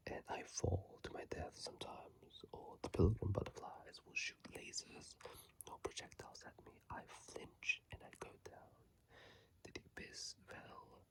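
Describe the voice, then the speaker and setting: Soft rambling ASMR whisperer. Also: deep voice, gravelly, slightly rough. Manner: soft unvoiced whisper, rambling, with self-corrections. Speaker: ASMR gaming channel host. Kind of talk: casual whispered ASMR game commentary. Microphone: phone microphone.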